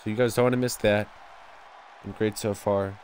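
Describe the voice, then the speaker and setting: Young male gamer with a stuffy nose, excitable play-by-play over a wrestling game, lowish voice, faint hiss behind. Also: normal speed. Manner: excitable, bursts of loud exclamations. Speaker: gameplay commentator.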